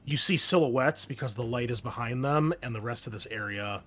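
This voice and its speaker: A man's voice: medium pitch, fairly quick, a bit bright, slight background noise. A man acting as game master of a tabletop game, explaining the scene in an informal, conversational way. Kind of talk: casual tabletop game narration.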